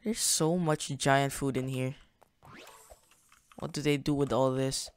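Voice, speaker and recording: A man's voice: medium pitch, steady tone, measured pace, smooth. A young man hosting a gaming channel, casually narrating his play in a chatty, slangy way, thinking aloud as he goes. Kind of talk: casual gameplay commentary.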